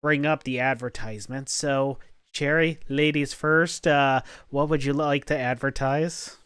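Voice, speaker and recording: A man hosting a podcast in a deadpan, halting way, stumbling over his words now and then. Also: medium pitch, clear, resonant tone, no echo, studio quality. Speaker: podcast host. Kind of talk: casual podcast chat.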